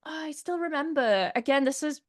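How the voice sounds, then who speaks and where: A woman in her thirties from England, a pronunciation teacher chatting as an interview guest with animated storytelling and lots of fillers. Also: high voice, moderate pace. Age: 30s. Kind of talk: casual interview chat.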